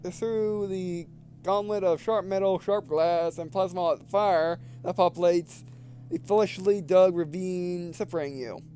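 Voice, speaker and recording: High-voiced man reading game text aloud. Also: mellow, slight background noise. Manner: stumbles over words while reading aloud. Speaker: video game let's player. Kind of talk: reading visual novel text aloud with casual commentary.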